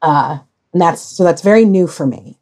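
A woman with a fairly low voice hosts a casual podcast conversation. She speaks emphatically and deliberately, leaving pauses as she shapes her phrases. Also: animated, warm tone. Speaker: podcast discussion host.

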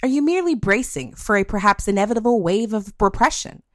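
A woman's voice: mid-range voice, lively intonation, fast talker, dry recording, clean studio sound. A woman podcast host reading a scripted topic piece aloud.

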